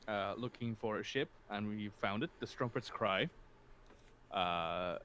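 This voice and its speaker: A man's voice: mid-range voice, a bit bright. A man with a mid-range voice talks informally as a player in a tabletop game. A faint layer of background noise sits behind him. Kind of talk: casual tabletop game chat.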